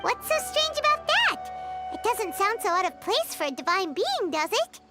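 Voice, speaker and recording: Tiny childlike game creature, squeaky, animated intonation, curious, excitable, loud background music. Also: female voice, moderate pace. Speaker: game character. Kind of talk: scripted video game voice acting.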